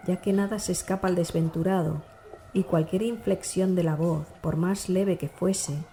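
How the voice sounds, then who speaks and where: Low, mellow woman narrating a dark novel. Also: a little hiss or hum. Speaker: audiobook narrator. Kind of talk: scripted literary narration of a dark classic novel.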